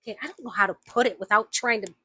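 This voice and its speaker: Indignant woman with a bright, edgy voice. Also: a little high, very fast, slightly rough, no room sound, studio quality. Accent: slight Southern US. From United States. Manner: indignant, emphatic, repeats herself. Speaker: vlogger. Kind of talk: angry opinion rant to camera.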